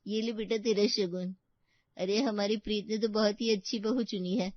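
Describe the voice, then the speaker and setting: A woman's voice: medium pitch, fairly even intonation, moderate pace, dry recording, lightly acted. Lady doing a gushy middle-aged auntie neighbour, dry lo-fi sound. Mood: admiring. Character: gushing, friendly middle-aged neighbour woman.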